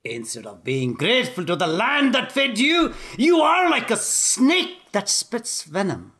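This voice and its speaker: A man with Tamil as his first language voicing an arrogant reigning king who talks in a shouting, haughty, scornful way. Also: very high-pitched, sing-song, a little slow, slight background noise, voice pushed up, exaggerated voice. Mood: scornful furious.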